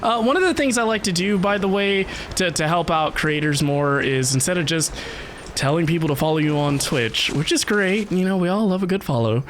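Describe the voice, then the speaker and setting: A game streamer giving casual live commentary, excitable and playful, with chatty asides. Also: mid-range voice, a little fast, a little hiss or hum. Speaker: livestreamer playing a video game. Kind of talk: casual livestream commentary and chat.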